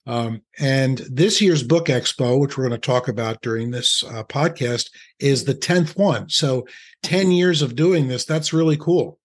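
A man's voice: medium pitch, a little slow. A man from New Jersey hosting a podcast interview, friendly and joking, asking long, rambling questions. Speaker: podcast host.

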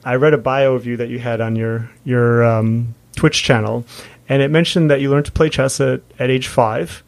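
A man's voice: mid-range voice, steady tone, normal speed, creaky. A man speaking as the host of an interview podcast. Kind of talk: interview and scripted introduction.

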